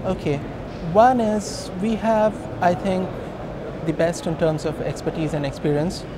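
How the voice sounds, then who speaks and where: A man with an Indian accent and a slightly high voice answers questions as a company founder in an informal, emphatic way. He is recorded at an event with clear background noise. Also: unhurried. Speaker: company co-founder being interviewed. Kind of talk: conversational business interview. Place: busy hosting industry event. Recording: noisy event location, traffic-like ambient noise.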